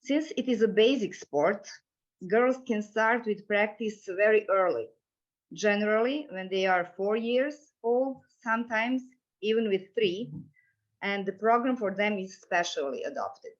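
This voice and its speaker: A woman's voice: mid-range voice, measured pace, highs cut off. A middle-aged woman from Serbia, a coach and commission president, giving a formal prepared presentation over a video call.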